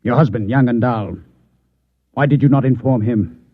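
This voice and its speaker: An actor playing a formal, coolly menacing Martian agent posing as a newspaper reporter in a mid-century radio drama. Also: male voice, mid-range voice, dry recording, lightly acted. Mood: taken aback. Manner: formal, polite, deliberate. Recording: tinny, narrow-band old radio recording.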